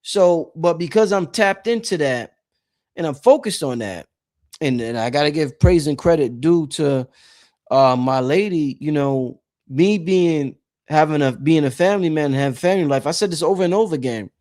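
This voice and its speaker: A man's voice: a little high, lively intonation, moderate pace, a little mellow. A man in his late thirties talking casually to his viewers about spiritual matters, rambling and repeating phrases for emphasis. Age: late 30s. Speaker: host of a spirituality channel.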